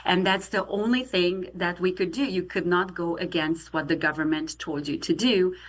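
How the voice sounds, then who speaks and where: A middle-aged woman from Romania with a Romanian accent, telling her life story as a guest in an earnest, steady way. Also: lowish voice, moderate pace. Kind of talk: testimony interview.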